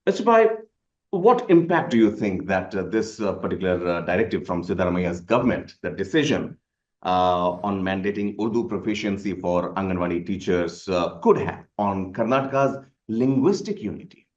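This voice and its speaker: Man, news interviewer, hesitant, filler-heavy, lowish voice, roomy lo-fi sound. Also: animated, normal speed. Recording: echoey, dull lo-fi sound.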